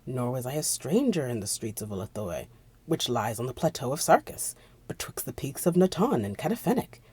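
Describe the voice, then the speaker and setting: A narrator of unclear sex giving a theatrical dramatic reading of a gothic horror story, at times dropping to a breathy near-whisper. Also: medium pitch, big pitch swings, brisk, darkish tone, dry recording.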